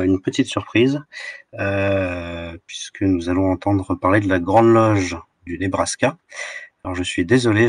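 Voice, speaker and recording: A man's voice: deep voice, dry recording. A man hosting a podcast discussion over an online call, casual and hesitant with many fillers. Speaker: podcast host. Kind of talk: casual moderation.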